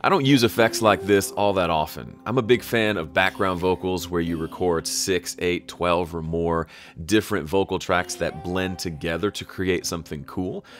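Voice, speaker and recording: A man's voice: low voice, moderate pace, hardly any pauses, fairly bright tone, faint music behind. A man hosting an audio production tutorial in casual, conversational talk, thinking aloud as he goes.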